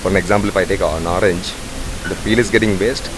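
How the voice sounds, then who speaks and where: A man's voice: low-pitched, fairly even intonation, fast talker. Sri man in a noisy bar. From Sri Lanka. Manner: rambling, with many "like, you know" fillers. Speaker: head bartender. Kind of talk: casual interview.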